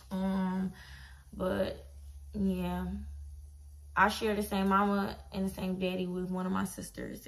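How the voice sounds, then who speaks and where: A woman's voice: medium pitch, vocal fry, low-quality recording. A woman of twenty-one from Detroit, chatting casually to camera in a laid-back, slangy, blunt way. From Detroit, Michigan, USA. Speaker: vlogger. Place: small bedroom, indoors.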